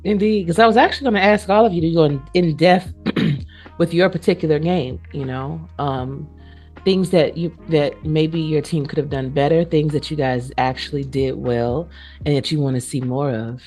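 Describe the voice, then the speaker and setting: Lady host chatting sports, pretty low voice, bit of music in the background. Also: normal speed, darkish tone. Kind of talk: casual sports chat.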